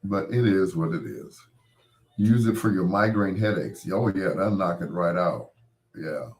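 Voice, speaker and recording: Deep hoarse guy with a cold, rambling late night radio host, laughs at his own dad jokes. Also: unhurried, a little mellow.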